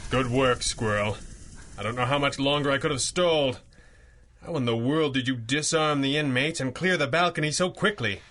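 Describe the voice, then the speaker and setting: An actor playing a confident, shrewd masked superhero, speaking formally and eloquently in a 1930s-style radio serial. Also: male voice, mid-range voice, moderate pace, fairly bright tone, a little hiss or hum, close to an everyday voice. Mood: relieved.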